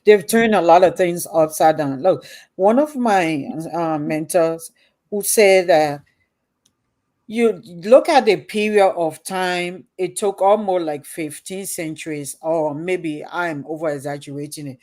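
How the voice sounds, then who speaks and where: A woman's voice: lowish voice, warm tone. Woman host, earnest, lots of ums and restarts, chatty interview. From Liberia. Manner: earnest, halting, many fillers. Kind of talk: casual interview chat.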